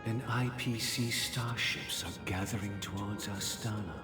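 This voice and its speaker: A man's voice: lowish voice, unhurried, close to an everyday voice. A man playing a solemn, authoritative, paternal villain in a game, stately and ominous. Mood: solemn, scheming. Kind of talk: video game story dialogue. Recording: loud game music behind.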